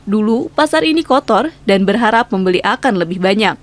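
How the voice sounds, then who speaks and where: A woman voices a news package. She reads scripted narration at a middle pitch, in the manner of a field report voice-over. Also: animated, fairly quick.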